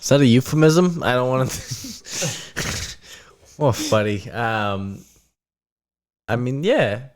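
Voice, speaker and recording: A man's voice: mid-range voice, animated. A young man playing a tabletop role-playing game, joking, swearing and laughing a lot, sometimes speaking in character. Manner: laughing, profane, rapid joking. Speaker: player. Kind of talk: casual tabletop game chat, partly in character.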